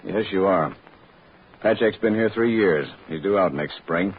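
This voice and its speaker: A deep-voiced prison warden from a radio play, weary and embittered. He talks bluntly, in clipped statements. The old recording is dulled, with a light hiss. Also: a little slow, narrow, tinny audio. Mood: guarded, matter-of-fact. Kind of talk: old-time radio drama acting.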